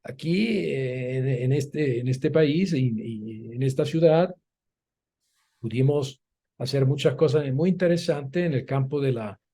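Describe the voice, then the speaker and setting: An older Italian-born man speaks in a mellow, relaxed voice at an ordinary pitch. He is a guest in an interview, and his answers come slowly and thoughtfully, with many pauses and restarts. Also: husky. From Italy. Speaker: guest academic.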